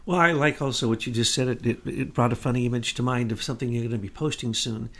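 A middle-aged man co-hosting a political commentary talk, talking fast and insistently. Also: medium pitch, steady tone. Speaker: co-host.

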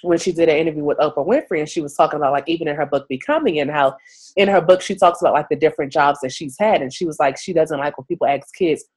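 A woman journalist being interviewed, talking casually with lots of fillers, stuffy from a cold and often clearing her throat. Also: deep voice, quick pace, a little mellow. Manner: chatty, many "like" and "you know" fillers. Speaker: journalist guest.

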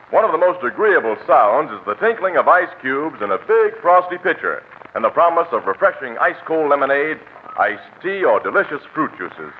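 A man's voice: fairly high pitch, slight background noise. A man announcing scripted 1940s radio ads and credits in a polished, emphatic manner. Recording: tinny old radio broadcast, music behind.

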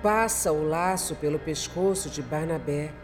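A man narrating a scripted radio drama in a deliberate, dramatic way. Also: on the high side, over music.

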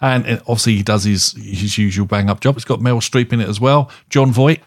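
Casual podcast co-host: male, lowish pitch, rambling, halting delivery. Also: fairly even intonation. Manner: rambling, halting and hedging, circles back over phrases. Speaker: podcast co-host reviewing films. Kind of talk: casual film review chat.

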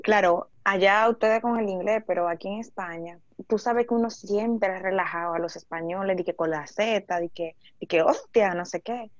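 A woman's voice: mid-range voice, moderate pace, hardly any pauses, no room sound. A woman chatting casually, teasing and acting out quoted lines. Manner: lively, mocking, acts out quotes. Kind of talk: casual chat. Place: living in Spain. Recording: dull lo-fi dry sound.

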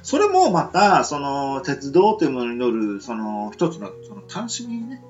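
A man's voice: mid-range voice, huge pitch swings, normal speed, dull audio, soft background music. A man co-hosting a casual radio chat, rambling with many fillers. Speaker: radio show co-host.

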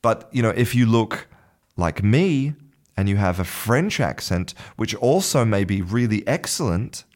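A man teaching an English lesson, explaining things in a measured way and often repeating words as he searches for the next one. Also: fairly low pitch, darkish tone.

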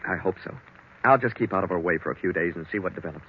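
Low-voiced, polite young bridegroom. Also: male voice, racing. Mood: troubled. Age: young adult. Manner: plain-spoken, conciliatory. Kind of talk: 1940s radio drama acting. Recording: muffled, noisy old radio recording.

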